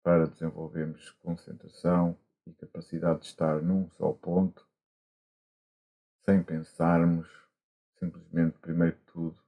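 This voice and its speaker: A man with a European Portuguese accent, a monk guiding a meditation gently and haltingly, with long pauses, over a video call. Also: low voice, monotone, creaky. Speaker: Buddhist monk, meditation teacher. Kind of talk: guided meditation instruction. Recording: muffled, dull online call audio.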